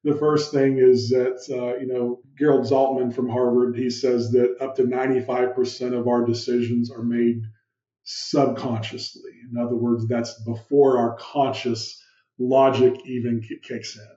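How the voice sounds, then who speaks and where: A man with a big, commanding voice explains ideas on a podcast, asking rhetorical questions along the way. His tone is mellow and dark. The audio sounds muffled and echoey, as if from a roomy remote setup. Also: medium pitch, measured pace. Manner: animated, uses vivid room analogies and rhetorical questions. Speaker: podcast guest, business founder. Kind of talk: explanatory interview talk.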